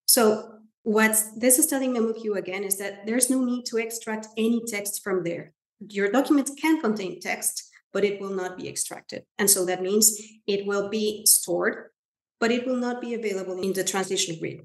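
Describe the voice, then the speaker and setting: A woman with a Spanish accent, a native Spanish speaker, walking viewers through software in a webinar, often checking in with "right?" and "okay?". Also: medium pitch, moderate pace, roomy sound. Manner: friendly, explanatory, with frequent "right?" and "okay?". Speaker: software trainer. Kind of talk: webinar screen-share demo. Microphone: video call.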